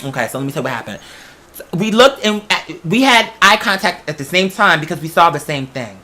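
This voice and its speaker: Bright, chatty storyteller with slangy emphasis. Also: mid-range voice, big pitch swings, brisk. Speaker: podcast host. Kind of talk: casual rambling storytelling to an audience.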